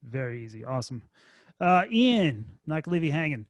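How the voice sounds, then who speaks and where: High-voiced casual male webinar moderator. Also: big pitch swings, fairly quick, no echo.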